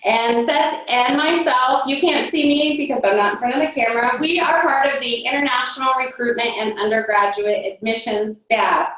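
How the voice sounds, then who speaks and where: Woman, high voice, webinar host, friendly, filler words, distant mic, very echoey room, dull sound. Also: normal speed, few pauses, a bit bright. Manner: friendly, fillers, directing attendees. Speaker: admissions staff host. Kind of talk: hosting a webinar. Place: office or meeting room, off camera.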